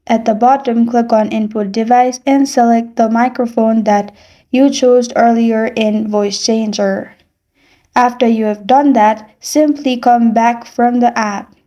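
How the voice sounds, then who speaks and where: A woman reading a scripted step-by-step tutorial in an instructional, deliberate manner. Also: fairly high pitch, fairly even intonation, mellow, dull audio. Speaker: tutorial narrator.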